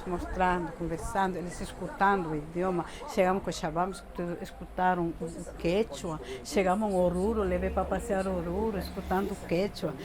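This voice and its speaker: Older Bolivian woman, halting speech. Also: fairly low pitch. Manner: halting, repeats words, frequent tag questions. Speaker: interviewee. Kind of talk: casual interview talk.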